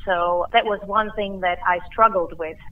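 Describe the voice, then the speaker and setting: A middle-aged woman from Slovakia, a Slovak speaker, telling her story in a measured, thoughtful way as a guest in a phone interview. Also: mid-range voice, steady tone. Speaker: guest musician. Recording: tinny phone line.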